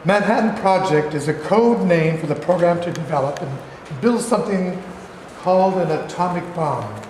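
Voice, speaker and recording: Man acting formal high-voiced statesman, echoey hall. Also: expressive, normal speed, darkish tone. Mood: formal, explaining. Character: distinguished, loyal, deferential government secretary briefing the president. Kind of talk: staged play reading. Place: large room at a live staged reading. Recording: very echoey hall, far off, faint noise.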